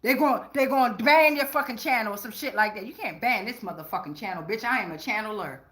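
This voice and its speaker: Female tarot reader, forceful bright tone, higher pitch; casual, rambling, profane, slang-heavy delivery. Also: fast. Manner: rambling, with frequent "right?" check-ins. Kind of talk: casual tarot reading monologue.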